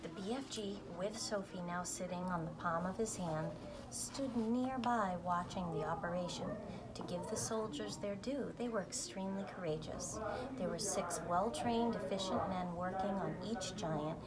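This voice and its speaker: A woman's voice: on the low side, normal speed, very noisy. A woman reading a children's book aloud, now and then stumbling and restarting over words. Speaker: volunteer story reader.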